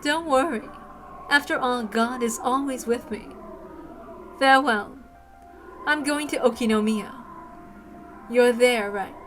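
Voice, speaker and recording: A woman voicing a calm, pious villainess who is convinced God chose her, in a dramatic visual novel read-aloud. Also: on the high side, slow pace, pauses often, faint music behind. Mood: serene, fanatical.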